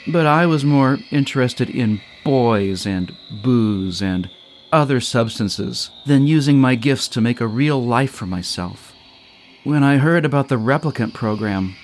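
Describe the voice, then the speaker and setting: A man's voice: medium pitch, a little hiss or hum, close to an everyday voice. A man voicing a regretful woman in her fifties who longs to be a mother, in an audio story. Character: fifty-something woman, regretful, sad, wanting to mother.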